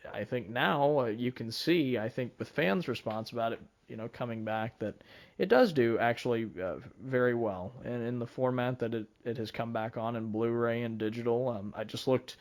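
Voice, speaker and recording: A man with a medium-pitched voice chats as a podcast panelist. His delivery is casual and measured, a little halting as he builds each thought while he goes.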